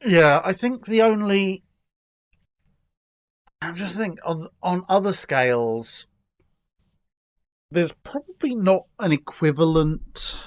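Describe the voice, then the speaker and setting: A man's voice: on the high side, dry recording. A man chatting casually and discursively, with a wry streak and a taste for hypothetical examples. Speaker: guest answering history and starship questions.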